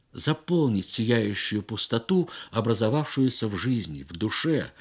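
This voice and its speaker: A man narrating a literary story for radio with measured, expressive phrasing and deliberate pauses. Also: fairly low pitch, breathy edge. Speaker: story reader.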